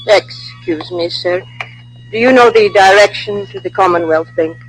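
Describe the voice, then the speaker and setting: A woman's voice: mid-range voice, moderate pace, noisy background, close to an everyday voice. A woman playing a furtive, frightened informant, in old-time radio style on a vintage recording. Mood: furtive, fearful. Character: furtive, frightened female informant named Mira.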